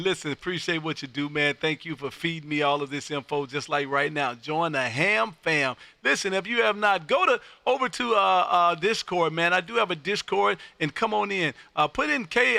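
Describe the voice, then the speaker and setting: A man's voice: high voice, creaky, sharp tone, dry recording. An exuberant, folksy man hosting an amateur radio livestream, chatting on air and often repeating his phrases. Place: home radio room.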